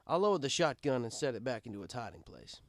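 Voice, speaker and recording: A man's voice: medium pitch, big pitch swings, fast talker, no echo, clean studio sound. A man reading a first-person story aloud as narrator, in a conversational, wry storytelling style.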